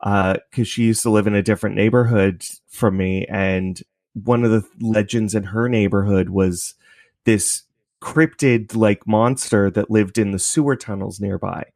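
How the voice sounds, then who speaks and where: A man's voice: lowish voice, fairly even intonation, measured pace, darkish tone, no room sound, studio quality. A man chatting as an interview guest, explaining his creative work in a loose, thinking-aloud way. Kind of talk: casual interview chat, explaining.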